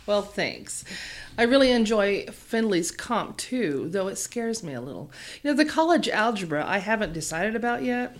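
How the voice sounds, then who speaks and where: A woman playing a lively Texan college girl. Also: mid-range voice, moderate pace, natural voice. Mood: chatty. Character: friendly young female college student from Texas.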